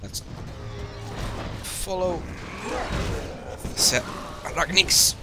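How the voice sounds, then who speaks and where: A man with a Dutch accent, a native Dutch speaker, calmly calling live play-by-play for an online game stream while he has a cold. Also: fairly high pitch, very slow. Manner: calm running play-by-play. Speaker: esports stream caster. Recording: game music loud behind the voice. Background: loud game music.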